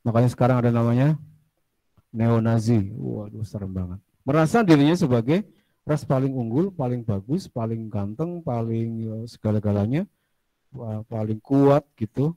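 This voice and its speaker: A man's voice: mid-range voice, moderate pace, dark tone, dry recording, poor audio. A man teaching a casual classroom lecture, chatty, asking students questions and drifting into asides.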